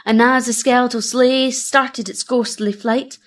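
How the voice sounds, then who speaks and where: A woman narrating a storybook poem in a theatrical, rhythmic and measured manner. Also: fairly high pitch, steady tone.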